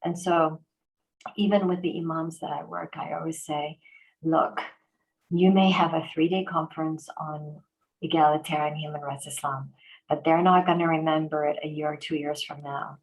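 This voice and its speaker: A woman with a lowish voice talks in an easy, conversational way. She tells her stories with animation, breaking into quick restarts and fillers. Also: fairly even intonation, poor audio. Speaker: organization president and host. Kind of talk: conversational interview.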